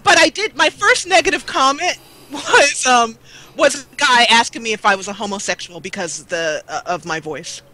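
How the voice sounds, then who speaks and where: A woman with a bright, forward voice chats in a relaxed conversational style. She speaks as a guest who makes gaming videos, and her tone has a clear edge to it. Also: moderate pace. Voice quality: low-voiced. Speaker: guest, gaming video maker and role-player. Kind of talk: casual chat.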